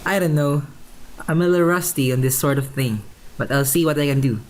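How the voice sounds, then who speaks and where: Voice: mid-range voice, fairly quick, close to an everyday voice. Modest, earnest schoolboy whiz played by an actor. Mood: modest, eager. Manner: polite, earnest, folksy exclamations. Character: modest, helpful thirteen-year-old boy science prodigy. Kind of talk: radio play acting.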